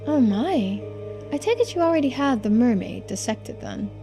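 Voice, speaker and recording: A woman voicing a genteel, composed and secretive housewife in a video game, speaking politely, formally and with quiet persuasion. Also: on the high side, big pitch swings, moderate pace, faint music behind. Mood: politely pressing. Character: genteel, composed, secretive housewife who knows occult lore. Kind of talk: video game dialogue read aloud.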